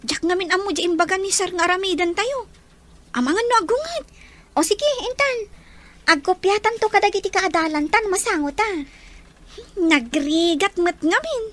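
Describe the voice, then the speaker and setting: A woman as a very high, whiny schoolgirl. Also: animated, moderate pace, fairly bright tone. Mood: sulky. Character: lazy, whining teenage schoolgirl daughter. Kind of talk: Filipino radio drama acting. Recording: background noise and ambience.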